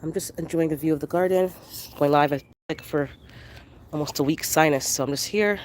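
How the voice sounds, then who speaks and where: A gardening livestream host with a congested, nasal voice from a head cold, chatting in a relaxed, rambling way with viewers while outdoors in a backyard garden, recorded on a handheld phone. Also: medium pitch, a little fast, a little hiss or hum. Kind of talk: casual live chat with viewers.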